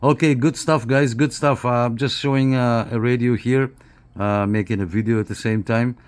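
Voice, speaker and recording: A man giving a casual, unscripted hands-on review, speaking haltingly and often repeating his phrases. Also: medium pitch, steady tone, clear, resonant tone. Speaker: radio reviewer and CB operator.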